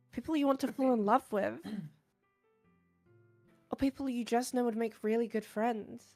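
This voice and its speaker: A woman voicing a shy, bookish, insecure teenage girl in a visual novel, hesitant, soft-spoken and earnest. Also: on the high side. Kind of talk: visual novel character voice acting.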